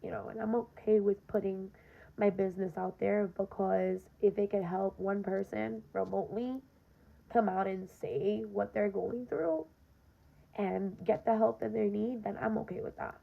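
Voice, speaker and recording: A young woman with a very gravelly, raspy voice talks straight to her listeners in a casual podcast monologue. Her delivery is earnest and direct, with a fairly flat pitch. The recording sounds dull and lo-fi. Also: moderate pace. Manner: earnest, direct, frequent "um" and "you know". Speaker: podcast host.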